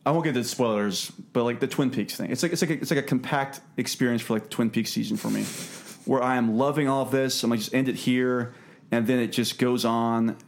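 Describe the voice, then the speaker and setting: A man's voice: medium pitch, fairly even intonation, a little fast. A man hosting a podcast, talking through a film in a casual, conversational way. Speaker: podcast host and film reviewer.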